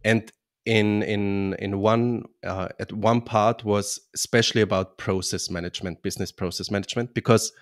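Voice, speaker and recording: Casting: Austrian man, lowish voice, guest on a podcast, halting with restarts. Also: steady tone. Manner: halting, many restarts and fillers. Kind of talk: podcast interview.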